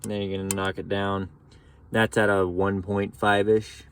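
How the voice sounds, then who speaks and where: A man giving a relaxed, folksy how-to walkthrough from inside a truck. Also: sits low, a little slow, a little hiss or hum. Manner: relaxed, folksy explaining with casual slang. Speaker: mechanic demonstrating CB radio setup. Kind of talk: casual how-to narration.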